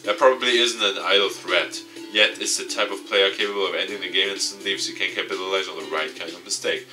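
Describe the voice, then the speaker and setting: A man's voice: sits low, fairly even intonation, quick pace, distant mic, music in the background. A man reading a visual novel aloud and commenting as he plays, as a gaming video host. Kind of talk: let's play, reading game text aloud.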